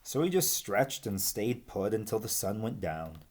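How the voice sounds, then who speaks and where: A man's voice: on the low side, big pitch swings, measured pace, darkish tone. A man narrating a children's picture book expressively as a read-aloud storyteller.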